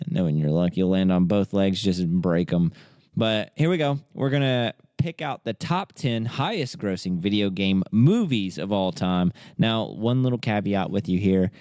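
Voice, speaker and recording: A man's voice: low voice, normal speed, darkish tone, clean tone, no echo, clean studio sound. A man hosting a casual podcast, bantering and teasing as he poses quiz questions to his co-host. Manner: teasing, quizzing.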